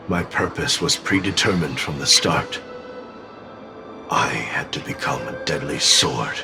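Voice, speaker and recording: An actor playing an ancient demon bound in a sword in a game, solemn, weary and sorrowful, with a gravelly voice. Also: male voice, full character voice. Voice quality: very deep, gravelly. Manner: solemn, slow, deliberate. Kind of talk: dramatic game dubbing. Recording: music under the voice. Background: music.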